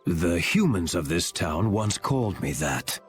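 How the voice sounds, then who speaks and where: Deep, cryptic ancient sage. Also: male voice, sing-song, soft background music. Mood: calm, reserved. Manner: formal, deliberate, condescending. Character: ancient town sage, weary, cryptic and superior. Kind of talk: anime-style game voice acting.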